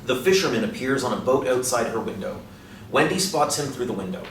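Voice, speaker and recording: A man's voice: mid-range voice, animated, fairly quick. A man reading out stage directions as scripted narration. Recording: echoey room, distant mic, faint noise.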